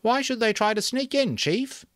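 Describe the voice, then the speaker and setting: A timid boy from a savage tribe in a storybook, acted out by a man. The voice jumps far up in pitch and swings about, hesitant and questioning before his chief. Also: animated, moderate pace, no echo, studio quality. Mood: nervous.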